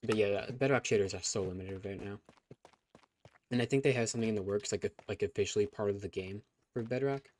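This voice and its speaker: A man's voice: on the low side, a little fast, darkish tone. A young man casually chatting on a live stream as its host, rambling with fillers and restarts, his voice getting scratchy. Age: young adult. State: voice going scratchy.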